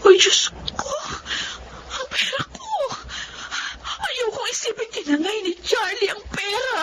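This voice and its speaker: High-voiced, emotional young bride-to-be. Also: female voice, a little slow. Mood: panicked. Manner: expressive, emotional. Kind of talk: Tagalog radio drama acting. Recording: dull lo-fi audio, faint noise.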